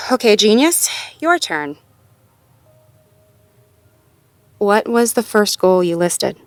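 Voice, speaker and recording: Low-voiced, casually wry girlfriend played by a woman. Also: clear, resonant tone, no echo, lightly acted. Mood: affectionate, teasing. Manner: conversational, casual, with sighs and soft chuckles. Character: sweet, self-doubting, playfully wry girlfriend talking with partner. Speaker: audio roleplay performer. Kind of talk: intimate slice-of-life audio roleplay acting, one-sided conversation.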